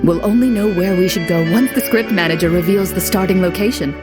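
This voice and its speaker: A woman playing a composed young duelist and game master in a video game, speaking in a measured, polite and formal way. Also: on the low side, lightly acted. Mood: calm, polite. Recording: music playing behind the voice.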